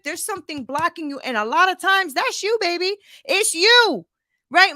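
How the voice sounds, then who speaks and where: Woman in her forties, forceful bright voice, fairly high pitch, blunt motivational coaching, slangy, conversational. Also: dry recording, studio quality. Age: 40s. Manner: emphatic, blunt and slangy, with frequent rhetorical check-ins. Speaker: podcast host and coach.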